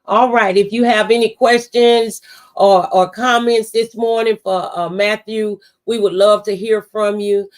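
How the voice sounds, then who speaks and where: A woman's voice: medium pitch, fairly even intonation, measured pace. A woman radio host talking conversationally in a preacherly, testifying way, offering faith-based encouragement. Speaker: radio talk show host.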